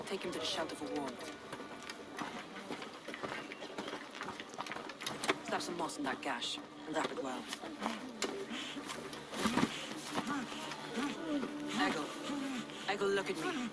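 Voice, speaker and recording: A woman's voice: low voice, very animated, loud music behind the voice. Commanding Viking warlord woman. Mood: urgent, reassuring. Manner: measured, commanding. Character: resolute Viking woman warlord, protective of her warriors. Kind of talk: dramatic video game acting.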